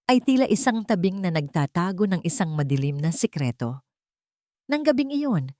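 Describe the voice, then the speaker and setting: Casting: female Filipino-accented audiobook narrator for dramatic fiction, slightly low register, very dry recording. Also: a little mellow, no room sound. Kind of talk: scripted dramatic story narration.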